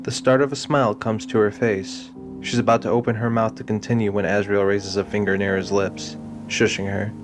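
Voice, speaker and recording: A man narrates a scripted audio drama in a fairly low voice. Music plays clearly behind his storytelling. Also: flat delivery, brisk, few pauses.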